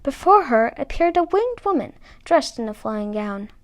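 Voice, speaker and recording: Female narrator for children's fairy tales, high-pitched, expressive scripted read-aloud. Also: normal speed. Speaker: storybook reader.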